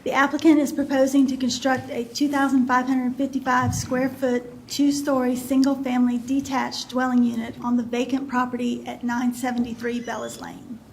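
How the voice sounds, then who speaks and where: A woman's voice: sits high, normal speed, hardly any pauses, a little hiss or hum, low-quality recording. A woman on planning staff reading a formal report at a public meeting. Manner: steady, formal reading of figures. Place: county meeting chamber.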